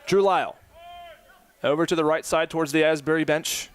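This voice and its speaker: A man's voice: a little high, smooth. A man in his early twenties doing live play-by-play commentary for a college soccer match from an outdoor stadium. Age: 20s. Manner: rapid play-by-play that climbs to excited shouting.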